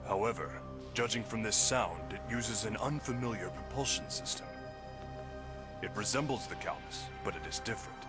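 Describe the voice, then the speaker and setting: A man's voice: on the low side, normal speed, lightly acted. A man playing a formal, analytical, unemotional ship's officer in a video game, with a clinical manner. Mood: detached, analytical. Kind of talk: dramatic video game acting. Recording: loud game music behind the voice.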